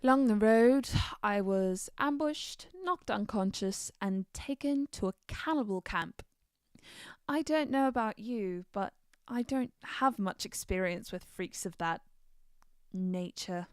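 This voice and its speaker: A wry woman adventurer from a fantasy game, played by a woman, recounts her troubles in a measured way. Her voice is smooth and clear, recorded dry with no room sound. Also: mid-range voice, no echo, close to an everyday voice. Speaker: voice actor for a game mod. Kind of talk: scripted game character dialogue.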